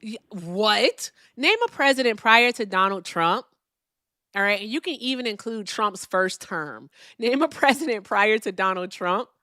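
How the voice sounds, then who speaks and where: A woman hosts a live call-in debate stream, with a voice at an ordinary middle pitch. She is blunt and mocking, cutting in over her caller, and the sound is dry and close with no room around it. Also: animated, measured pace, pushed and bright, no room sound. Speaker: host.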